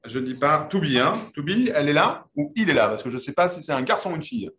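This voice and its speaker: A man's voice: a little high, big pitch swings, fast, a bit bright, reverberant. A man hosting an online quiz final, interviewing the winners in a friendly, hesitant way with many fillers. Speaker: host.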